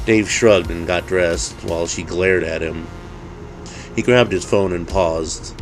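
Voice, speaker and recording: Casting: male narrator for dramatic tales, deep register, measured slow delivery. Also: music in the background, low-quality recording. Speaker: storyteller, narrator.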